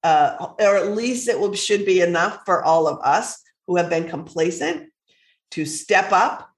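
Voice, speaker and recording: Podcast co-host, woman, congested and lower than usual, passionate and foul-mouthed, echoey room. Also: unhurried. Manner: blunt, profane, passionate. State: stuffy from a cold, low voice. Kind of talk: casual chat.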